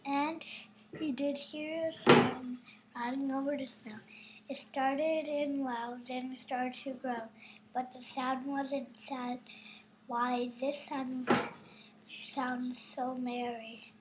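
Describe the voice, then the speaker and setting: High, even-voiced woman reading slowly. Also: very noisy. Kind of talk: storybook reading.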